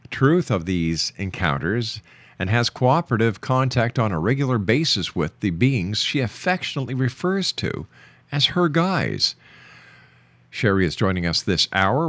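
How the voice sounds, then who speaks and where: A man's voice: lowish voice, fairly bright tone, no room sound. A man speaking as a radio show host, introducing and interviewing in a broadcast style.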